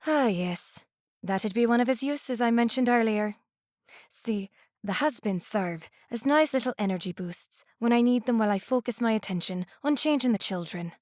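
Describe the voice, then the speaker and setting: A woman's voice: a little high, lively intonation, normal speed, a little mellow, dry recording, clean studio sound, lightly acted. A woman playing a cruel, gloating immortal enchantress in an audio drama, taunting and theatrical, savoring her words. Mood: smug, menacing. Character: gloating, cruel immortal enchantress who transforms people into swans.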